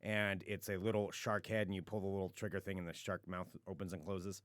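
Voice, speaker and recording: A man's voice: sits low, level tone, brisk, no room sound. A young man hosting a casual podcast, teasing his co-host and laughing often. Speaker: radio show host.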